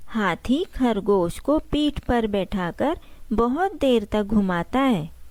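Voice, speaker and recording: A woman's voice: a little high, big pitch swings, slow pace, noisy background. A woman narrating a scripted story for children.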